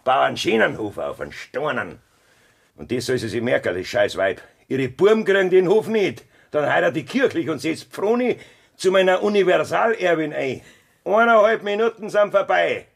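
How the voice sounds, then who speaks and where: An actor with a raspy voice and Bavarian accent playing a cold, threatening farmer who bullies his family in a radio drama. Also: on the high side, lively intonation, normal speed, exaggerated voice. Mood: menacing, taunting. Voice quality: raspy, gravelly. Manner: taunting, threatening. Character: cold, menacing farmer bullying his wife and mother. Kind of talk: German dialect radio drama acting.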